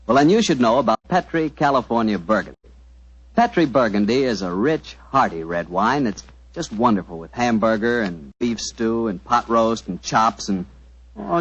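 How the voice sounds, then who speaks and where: Husky American 1940s radio pitchman. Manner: chatty, folksy, salesmanlike. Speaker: radio announcer and sponsor pitchman. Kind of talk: 1940s folksy radio advertising. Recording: dull lo-fi 1940s radio recording.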